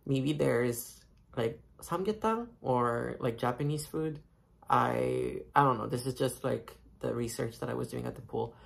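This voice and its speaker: A man's voice: mid-range voice, a little slow, vocal fry. A young man whose first language is French, chatting casually and self-mockingly to a phone camera held at arm's length while vlogging a trip. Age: young adult. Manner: chatty, rambling, self-mocking, many fillers. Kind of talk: casual travel vlog chat. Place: hotel room and bathroom, then night street market.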